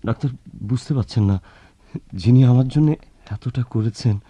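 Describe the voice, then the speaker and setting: Man acting a weak, anguished patient, very dark-voiced. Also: medium pitch, husky, no room sound, lightly acted. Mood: pleading. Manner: halting, pleading, declamatory. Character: weak, anguished male barrister recovering from surgery. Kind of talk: melodramatic Bengali radio drama acting. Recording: muffled, lo-fi radio drama recording.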